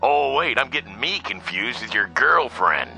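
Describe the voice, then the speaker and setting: A man's voice: mid-range voice, sing-song, normal speed, vocal fry, sharp tone, soft background music, exaggerated voice. An actor playing a sarcastic police detective needling his buddy in a radio drama. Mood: sarcastic banter.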